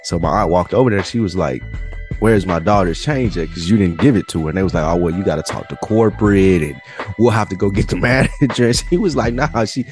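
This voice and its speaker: A man co-hosting a podcast, telling slangy, animated stories in casual chat. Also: deep voice, fast, faint music behind. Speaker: podcast co-host. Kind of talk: casual storytelling chat between friends.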